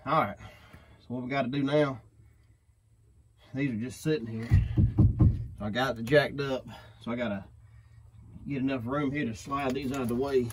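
A man's voice: medium pitch, fairly even intonation, normal speed, pauses often, noisy background. A man, chatting casually outdoors in a rural yard while he works to free an old bus, drawling and whooping. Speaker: vlogger pulling out an old bus. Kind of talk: casual work vlog.

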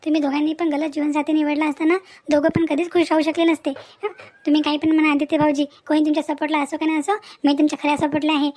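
Supportive cartoon sister-in-law, played by a woman: very high voice, emphatic, loyal, repeats her agreement. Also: little pitch movement, racing, a little mellow, close to an everyday voice. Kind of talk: cartoon family melodrama acting.

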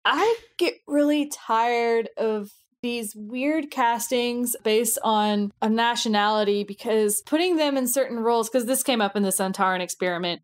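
A woman co-hosting a podcast, talking casually and giving her opinions emphatically in a panel discussion. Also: on the high side. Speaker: podcast co-host.

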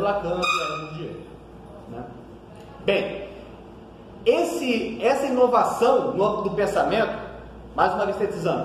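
A man in his thirties with a Brazilian Portuguese accent gives an animated academic lecture, gesturing widely and often adding tag questions. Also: high voice, a little hiss or hum. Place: seminar room with whiteboard. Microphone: far camera across the table. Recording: echoey room from far off.

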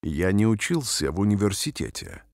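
A man reading short phrases aloud slowly and carefully, each one repeated, for language learners. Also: sits low, wildly swooping pitch, no echo. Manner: careful, clearly spaced phrases. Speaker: language teacher. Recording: dry studio voice-over.

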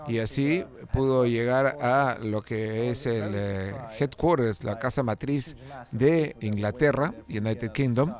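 A man narrating a car video as host in a relaxed, conversational voice-over, with frequent fillers and restarts. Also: mid-range voice, slow, soft background music. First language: Spanish; lived in England over sixteen years. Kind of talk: unscripted voice-over commentary.

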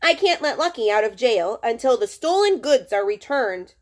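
Storybook cop read by a woman: firm, measured, kindly. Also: a little high, sing-song. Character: stern but kindly male police officer.

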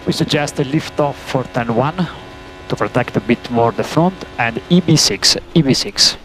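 A man working as a race engineer, giving terse, halting instructions over team radio and repeating key commands. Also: medium pitch, fairly bright tone. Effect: team radio transmission. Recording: noisy motor-racing team radio.

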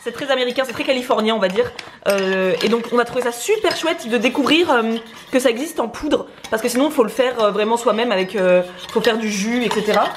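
Young woman, fairly high voice, chatty vlogger, home kitchen, camera mic, faint background hiss. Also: a little fast. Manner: chatty, lively, explains while cooking. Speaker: vlogger co-host. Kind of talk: casual chat to camera.

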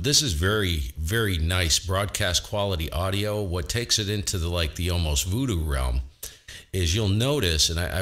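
Boomy baritone man explaining radio gear. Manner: relaxed, explanatory, thinking aloud. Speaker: ham radio operator and host. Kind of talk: casual technical walkthrough. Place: home radio shack.

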